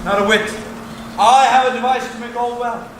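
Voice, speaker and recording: Echoey, very high-pitched bossy man playing a amateur thespian, Bottom. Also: fairly even intonation, normal speed. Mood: bossy, confident. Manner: bombastic, commanding. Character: Bottom, bossy, eager weaver and amateur actor. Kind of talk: theatrical Shakespearean stage acting. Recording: echoey room, distant mic, faint noise.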